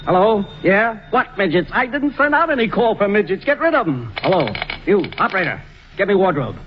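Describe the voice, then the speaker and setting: An irascible studio boss in an old radio comedy, barking brusque orders at a rapid clip. His voice sits high for a man, and it comes through a muffled, hissy old recording. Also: big pitch swings. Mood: harried, brusque. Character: bossy, irascible male film studio executive.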